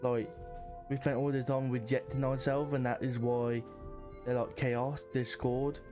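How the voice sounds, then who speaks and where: A man's voice: medium pitch, flat delivery, a little slow. A man doing casual game commentary, thinking aloud in a hesitant, musing way. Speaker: gaming streamer. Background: game music.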